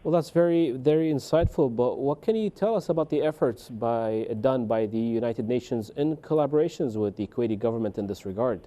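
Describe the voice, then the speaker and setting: A male news anchor with a very dark, muted tone. He reads scripted introductions and puts formal interview questions to a guest on air. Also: mid-range voice, a little fast, few pauses.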